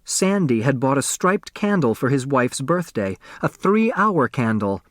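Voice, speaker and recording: Male narrator for dramatic fiction audiobook: unhurried, medium-pitched, recorded dry. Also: big pitch swings, no room sound. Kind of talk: scripted dramatic story narration.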